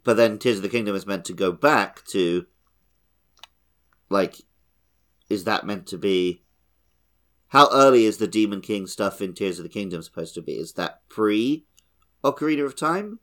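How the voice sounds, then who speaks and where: A man's voice: lowish voice, lively intonation, normal speed, pauses often, a bit bright. A man co-hosting a podcast, talking casually through a video game discussion. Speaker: podcast co-host.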